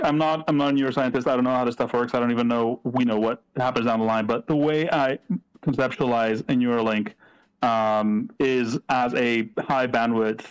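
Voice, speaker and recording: A man's voice: mid-range voice, poor audio. Hesitant man. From Greece. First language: Greek. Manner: hesitant, many fillers and restarts. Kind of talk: casual discussion.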